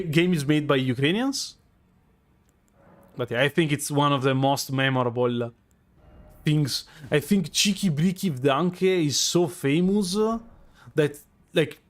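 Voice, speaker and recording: A man with a strong Italian accent, a streamer musing out loud over live gameplay with many fillers and restarts. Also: on the high side. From Italy.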